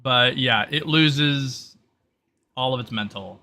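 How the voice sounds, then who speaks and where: A man's voice: medium pitch, slow pace, pushed and bright. A man running a tabletop roleplay game, explaining things casually and thinking aloud in a rambling, informal way. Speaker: game master.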